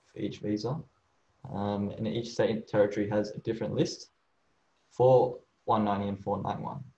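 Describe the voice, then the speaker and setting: A man's voice: lowish voice, dark tone. A man working as a migration agent, walking through visa rules in a casual way, often saying "okay" and "um" and restarting his sentences. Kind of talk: explaining visa rules from slides. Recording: echoey room, slightly dull sound.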